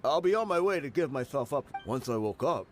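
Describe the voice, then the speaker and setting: A man's voice: mid-range voice, expressive, brisk, soft background music, close to an everyday voice. An actor playing a defeated but honest male mercenary in a video game, matter-of-fact and conciliatory. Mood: resigned, matter-of-fact. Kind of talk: English video game voice acting.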